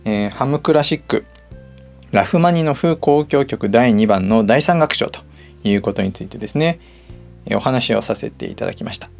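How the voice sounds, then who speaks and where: A man's voice: mid-range voice, expressive, normal speed, creaky, a little mellow. A middle-aged man hosting a personal audio show, talking casually and chattily to his listeners. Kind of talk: casual solo talk to listeners. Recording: music playing behind the voice. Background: background music.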